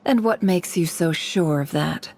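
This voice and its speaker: A woman's voice: lowish voice, a little slow, darkish tone, slight background noise. A woman voicing a cool, shrewd schemer in a video game, guarded and proud, with a sly, wry, teasing manner. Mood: wry, challenging.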